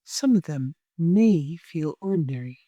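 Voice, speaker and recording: A person leading a guided meditation in a gentle, soothing way, with long unhurried pauses. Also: mid-range voice, animated, no room sound, highs cut off.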